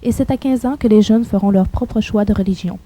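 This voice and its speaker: Woman, documentary narrator, calm, measured, very mellow tone. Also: mid-range voice.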